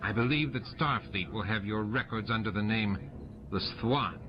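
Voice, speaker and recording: A man's voice: fairly low pitch, moderate pace, highs cut off, over music, natural voice. A man voicing a stern shapeshifting security chief in an audiobook, curt and commanding. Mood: accusing.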